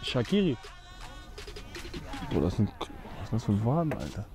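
A man's voice: lowish voice, huge pitch swings, measured pace, dark tone, soft background music. A man on a football show panel, judging players outdoors in a plain-spoken, laid-back way. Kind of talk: casual opinion chat.

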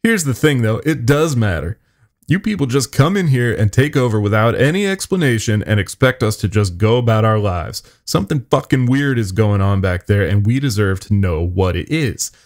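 A man as an indignant plant worker, voice raised high. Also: expressive, normal speed. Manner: confrontational, sweary. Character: angry, defiant male plant worker.

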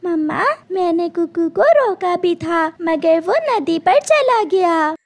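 A woman's voice: extremely high pitch, slow, pitched above the performer's own voice, heavily acted. A woman voicing a dutiful little girl bird, polite and earnest, in a cartoon. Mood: apologetic.

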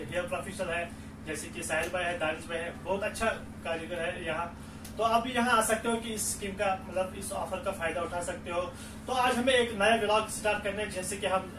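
A young man with a Kashmiri accent talking casually to camera as a vlogger, halting and repeating himself. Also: sits high. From Kashmir, India. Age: young adult. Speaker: vlogger and salon owner. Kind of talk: casual vlog talk to camera. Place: small salon room indoors. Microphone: camera a few steps away. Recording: echoey, distant mic with strong noise.

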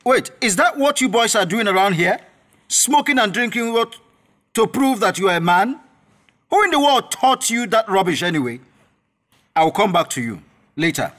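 An actor playing a stern, suspicious professor uncle with a Nigerian accent, scolding and questioning in a radio drama. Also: male voice, sits high, animated, moderate pace, a bit bright. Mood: angry scolding. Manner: scolding, interrogating, commanding.

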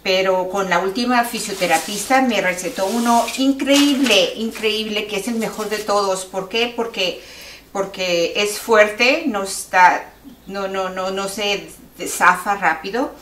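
A middle-aged woman giving casual how-to tips in a home kitchen, chatty and lively as she demonstrates with her hands. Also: mid-range voice, measured pace. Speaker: cooking teacher giving tips. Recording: roomy, a bit far from the mic, faint hiss.

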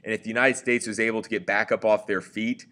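A man with a somewhat low voice talks through a slideshow lecture in a loose, conversational way. He pauses on fillers and corrects himself as he goes, like a teacher explaining to a class. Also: level tone, bright, forceful tone. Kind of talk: recorded slideshow history lecture.

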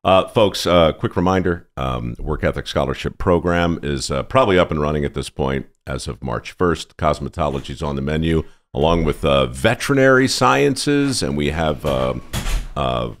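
A male podcast host in his sixties chatting in a small studio, relaxed and joking, into a desk microphone. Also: very deep voice, normal speed. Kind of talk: casual studio chat. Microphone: desk mic on boom arm.

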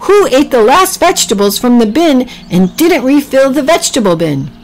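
A woman's voice: sits high, sing-song, moderate pace, a little hiss or hum, pitched above the performer's own voice, poor audio. A woman voicing a bossy, exasperated female animal in a children's story, scolding emphatically. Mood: exasperated yelling.

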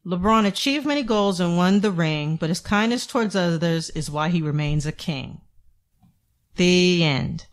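A woman reading a children's storybook aloud in an expressive, deliberate way for young listeners. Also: on the low side. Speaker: children's book narrator. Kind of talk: scripted read-aloud storybook narration.

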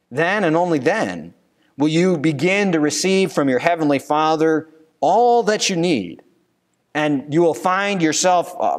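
A man in his thirties, a pastor preaching a sermon at a church pulpit, earnest and given to rhetorical questions. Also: fairly high pitch. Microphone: pulpit microphone.

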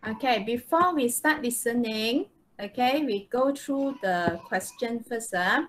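A woman's voice: a little high, lively intonation, a little slow, a bit bright. A woman with a Malaysian accent teaching a live online English lesson, explaining step by step and checking understanding. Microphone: video call microphone. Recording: noisy online video call.

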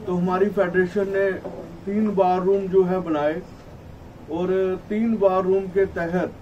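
A middle-aged man delivers a formal statement in a high voice, slightly muffled by a face mask. He speaks haltingly as a spokesman at a press conference. The microphone sits at a distance in a room with faint background noise. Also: steady tone, darkish tone. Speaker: traders' federation spokesman. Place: small indoor office at a press conference. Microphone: cluster of table microphones, camera at some distance. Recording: distant mic, noisy room ambience.

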